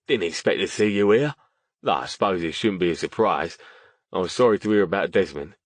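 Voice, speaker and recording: A man's voice: low-pitched, expressive, fairly quick, no echo. A man voicing a small, wiry, chummy Cockney old friend in an audiobook, with matey, teasing talk. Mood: friendly, courteous. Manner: matey, colloquial.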